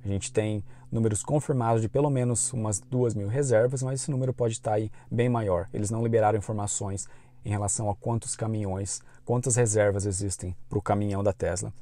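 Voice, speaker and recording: A man with a Brazilian Portuguese accent, hosting a channel and giving informative, measured news commentary in an explanatory way. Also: on the low side, fairly even intonation, dark tone. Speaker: YouTube channel host.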